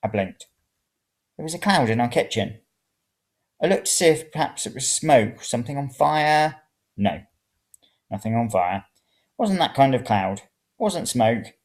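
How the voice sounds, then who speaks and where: A narrator reading first-person serial fiction in an expressive, dramatic way. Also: medium pitch, measured pace, pauses often. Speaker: story reader.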